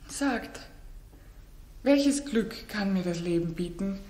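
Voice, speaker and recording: A proud queen defends herself with solemn, anguished dignity. The delivery is declamatory, in the manner of 1960s radio drama. Her mid-pitched female voice rings in an echoey room. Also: animated, takes it slowly, mellow. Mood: proud, defiant.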